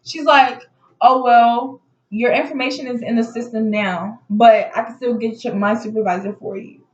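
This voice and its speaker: Casting: female vlogger, high-pitched voice, indignant casual storytelling, slightly muffled roomy sound. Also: moderate pace. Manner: animated, indignant retelling with acted-out dialogue. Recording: muffled audio in echoey room.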